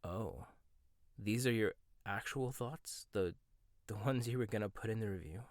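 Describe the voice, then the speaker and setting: A young man voicing a proud, teasing male chef in a boyfriend roleplay, talking softly and stammering when flustered. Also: fairly low pitch, moderate pace, no room sound, clean studio sound, natural voice. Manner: soft-spoken, teasing, gets flustered and stammers. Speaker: ASMR roleplay voice actor. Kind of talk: boyfriend ASMR roleplay, one-sided dialogue.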